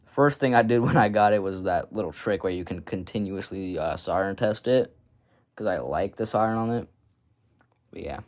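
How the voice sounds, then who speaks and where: Low-voiced man, offhand and rambling. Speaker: hobbyist showing a radio collection. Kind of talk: casual unscripted show-and-tell.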